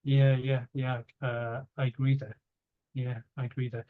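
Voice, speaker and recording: Dull-sounding male interviewer, mid-pitched. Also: level tone, unhurried. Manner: hesitant, repetitive, rambling phrasing. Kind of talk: casual chat. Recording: dull lo-fi audio.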